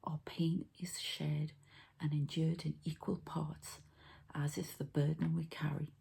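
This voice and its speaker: A woman whispering gently and breathily as she hosts an unhurried, hushed ASMR energy-healing session. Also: low voice, level tone, creaky, breathy edge. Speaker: ASMR host and energy healer.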